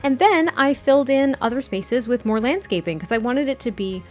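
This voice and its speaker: A woman with a slightly raspy voice chatting casually in a voice-over about her building, with fillers and a rambling, friendly manner. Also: sits high, lively intonation, moderate pace. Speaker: game builder narrating a speed build. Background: soft background music.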